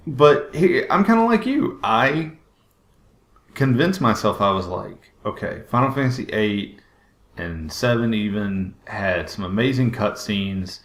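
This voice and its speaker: Animated man podcasting in an echoey room. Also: lowish voice, a little slow. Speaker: podcast host. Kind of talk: casual chat about films. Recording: roomy, echoing sound.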